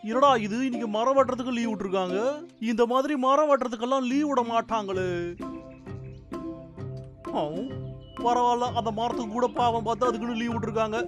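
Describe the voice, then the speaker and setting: A lazy, chuckling woodcutter who talks in a very high, pinched cartoon voice. He brags and flares up easily, and cartoon music plays under him. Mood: gleeful. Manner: chuckling, boastful, quick to anger. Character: lazy, hot-tempered male woodcutter. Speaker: cartoon dub. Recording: music bed behind.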